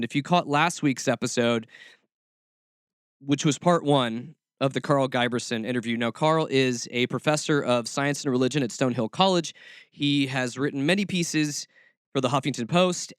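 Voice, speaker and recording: A man's voice: fairly high pitch, a bit bright, no echo, clean studio sound. A man hosting a show, introducing segments and asking interview questions in a conversational way. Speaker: podcast host interviewer.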